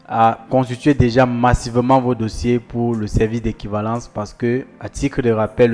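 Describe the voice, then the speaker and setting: A man of middle pitch explains study procedures and talks straight to his viewers. He repeats his key points with insistence, and soft music plays behind him. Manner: repetitive, emphatic, addresses viewers directly. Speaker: study-abroad advisor. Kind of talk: explanatory talk to camera.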